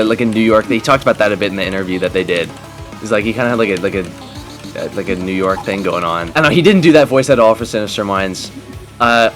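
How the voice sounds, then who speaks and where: A young man hosts casual game commentary with friends. His voice sits at a middling pitch and he talks in rambling, enthusiastic fan fashion. Music plays softly behind him. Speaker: gaming video host. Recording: background music under the voice.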